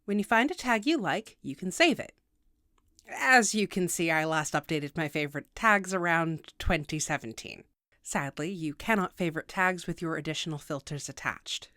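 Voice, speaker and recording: A woman's voice: low voice, normal speed, sharp tone, dry recording, clean studio sound. A woman hosting a video tutorial, reading scripted explainer narration in a precise, instructional way with wry asides.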